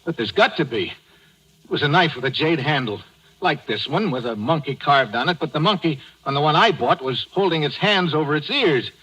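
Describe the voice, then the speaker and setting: A man's voice: a little high, animated, a little fast, bright, forceful tone, slightly rough, exaggerated voice, low-quality recording. An actor playing a tough, hard-boiled American man in trouble, terse and slangy, in an old radio noir drama. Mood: desperate, indignant. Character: hard-boiled American driver framed for murder. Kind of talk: 1940s radio noir narration and acting. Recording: old radio broadcast with music.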